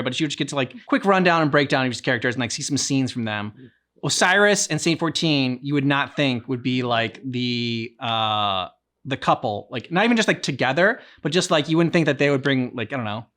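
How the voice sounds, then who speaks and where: A man hosting a game reaction, chatting casually in a halting, rambling way and often trailing off mid-thought. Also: mid-range voice, sharp tone, clean tone. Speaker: reaction channel host. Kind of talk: casual reaction chat about a video game.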